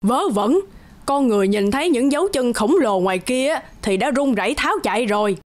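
Lady doing a big old giant mom, bossy but kind, highish voice, music behind. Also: lively intonation, fairly quick, darkish tone, close to an everyday voice. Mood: dismissive, sly. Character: huge old giantess mother, gruff but kindly.

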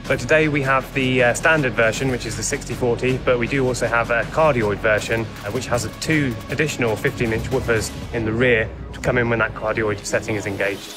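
A man's voice: mid-range voice, a little fast, few pauses. A man giving an informal product demonstration. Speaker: product presenter. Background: music.

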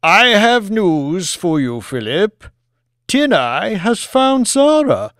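An imperious older English lord, acted by a male narrator, speaks grandly and evasively in an audiobook drama. His pitch sits high and swings widely, with emphatic, stretched-out phrases in a close, dry recording. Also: very animated, fairly bright tone, dry recording, full character voice. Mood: breezy, evasive. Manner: grandiose, emphatic, drawn-out delivery.

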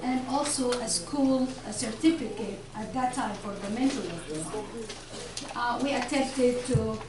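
A woman's voice: on the high side, a little slow, a crowd in the background. A woman giving a conference presentation, hesitant and full of fillers, recorded from the back of a large lecture room. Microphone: far camera. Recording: echoey room, recorded from far, audience noise.

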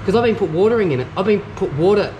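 A man's voice: sits high, animated, background noise. An Australian man hosting a casual vlog, joking and teasing people he meets in a large garage. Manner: cheeky, teasing, fast banter. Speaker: vlogger host. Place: large indoor garage and bike shop.

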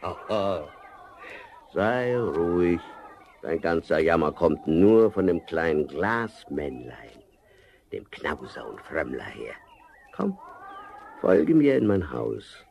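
An actor playing a sly, domineering forest giant who slowly coaxes and sneers in a fairy-tale radio play. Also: male voice, low-pitched, big pitch swings, creaky, darkish tone, a little hiss or hum, heavily acted. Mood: scornful, coaxing. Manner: slow, coaxing, sneering laughter.

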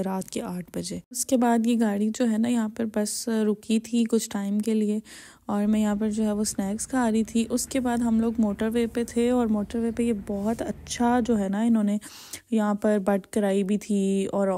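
Gravelly-voiced young Pakistani woman vlogger. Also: medium pitch, mellow. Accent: Pakistani Urdu. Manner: chatty, filler-heavy storytelling. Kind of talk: casual vlog voice-over narration.

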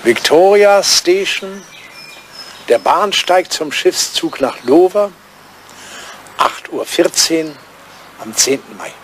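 Wistful old lord, highish voice. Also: male voice, animated, takes it slowly, long pauses, slight background noise. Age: older. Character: wistful old English lord, lonely lovelorn bachelor writer. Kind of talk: German radio drama acting, drawing-room dialogue.

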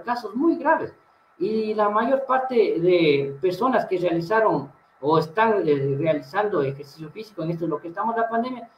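A man's voice: high voice, a little hiss or hum. A middle-aged man with an Ecuadorian accent, a gym director speaking earnestly as a guest in a remote interview, asking emphatic questions. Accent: Ecuadorian Spanish. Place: indoor room, video call. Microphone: webcam mic on a video call. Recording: roomy, slightly dull video call audio.

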